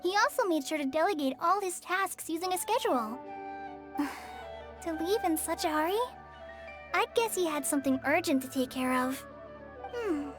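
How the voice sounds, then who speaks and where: Gentle young forest ranger girl, really high voice, earnest game dialogue, music behind. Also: expressive, moderate pace, long pauses. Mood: earnest, thoughtful. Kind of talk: video game character dialogue.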